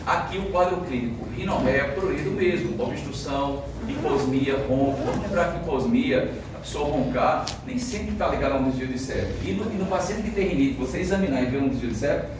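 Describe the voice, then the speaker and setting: A man's voice: fairly high pitch, lively intonation, brisk, few pauses. Man, Brazilian Portuguese accent, medical lecture, very echoey hall, far from the mic, noticeable background noise. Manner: conversational, frequent fillers and tag questions. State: has a cold. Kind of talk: classroom lecture. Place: lecture room.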